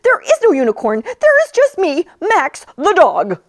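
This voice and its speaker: Woman voicing an indignant, high-pitched storybook dog. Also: wildly swooping pitch, unhurried, dry recording, clean studio sound, full character voice. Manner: emphatic protesting, exclaiming. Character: indignant, exasperated, easily alarmed male dog.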